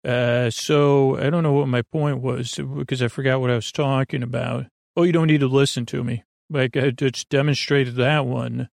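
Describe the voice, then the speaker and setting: A man hosting a bedtime podcast in a meandering, halting monologue, trailing off mid-sentence and gently reassuring the listener. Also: medium pitch, steady tone, gravelly, no room sound, clean studio sound. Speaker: podcast host.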